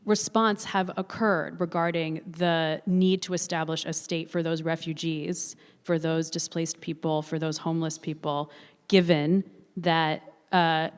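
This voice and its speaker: A woman moderating a panel, measured, putting questions and opening the floor. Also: low voice, flat delivery, clear, resonant tone. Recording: large hall with long reverb.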